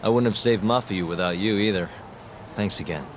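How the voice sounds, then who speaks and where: A man's voice: fairly low pitch, brisk, slight background noise, close to an everyday voice. A male actor playing a cool, direct investigator in a video game cutscene, with a casual way of talking. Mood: casual, curious. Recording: music behind the voice. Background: background music.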